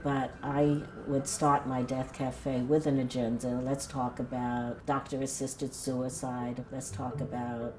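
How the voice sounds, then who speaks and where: An elderly New woman in her eighties speaks in a low, grounded voice. She talks plainly and frankly, thinking aloud at her own home. Music plays clearly beneath her words. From Brooklyn, New York. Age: 80s. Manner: frank, plain-spoken and reflective. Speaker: retired nurse and death cafe organizer. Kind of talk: documentary interview talk.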